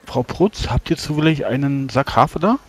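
A man's voice: medium pitch, expressive, moderate pace, breathy edge. A man playing a tabletop role-playing game, speaking in character politely and hesitantly. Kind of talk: improvised in-character game talk.

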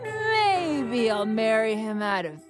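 A brash, scornful young woman from a visual novel, put on by a female reader. She drawls slowly in a high, swinging voice, blustering and bratty, with music playing behind her. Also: big pitch swings. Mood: brash, needling. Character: brash, scornful young woman treasure hunter, manic temper. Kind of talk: visual novel character dub.

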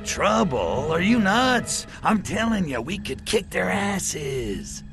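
An actor playing a brash, wisecracking alien invader in a video game, with an American accent and a cynical, slangy manner. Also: male voice, sits high, very animated, slow, creaky, over music, full character voice. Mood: scheming, exasperated. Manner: sardonic, slangy American wise guy. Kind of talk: video game cutscene acting.

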